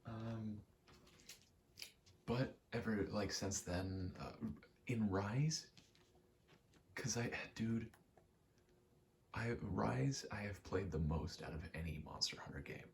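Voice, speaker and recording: Man, very low pitch, gravelly fry, halting ASMR gaming ramble, noticeable background noise. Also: darkish tone. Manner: halting, meandering, thinking aloud while playing. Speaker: gaming video creator.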